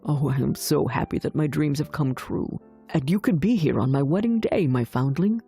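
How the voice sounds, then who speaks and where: A woman voicing a tender, devoted young prince in a fairy tale, speaking softly and earnestly. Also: low voice, expressive, moderate pace, vocal fry, breathy edge, soft background music, heavily acted. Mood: joyful. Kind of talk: fairy tale audiobook dialogue.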